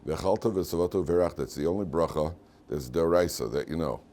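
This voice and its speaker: An American man with a hoarse voice giving an informal Torah lesson as a rabbi, haltingly, often repeating words and restarting. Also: a bass voice, warm tone. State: hoarse from medication.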